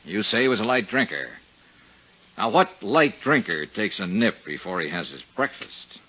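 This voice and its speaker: An actor playing a dogged, hard-boiled insurance investigator in a 1950s radio detective drama, clipped, insistent and methodical. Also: male voice, lowish voice, very animated, normal speed, pushed and bright, husky, heavily acted. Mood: insistent, probing. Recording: dull, hissy 1950s radio recording.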